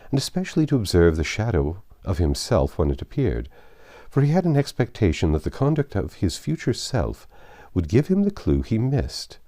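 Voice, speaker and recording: A man narrating classic literature as a storyteller, with measured, dramatic phrasing. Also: sits low, dark tone, dry recording, studio quality.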